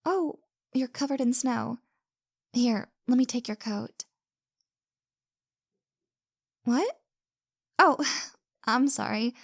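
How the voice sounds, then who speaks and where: Woman acting as flustered young woman with a crush, audio drama, soft hesitant stammer, slow pace, dry close sound. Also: a little high, animated, gravelly, slightly rough, no echo, exaggerated voice. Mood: cheery, flustered. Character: flustered, caring young woman hosting her secret crush. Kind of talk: intimate roleplay audio drama monologue.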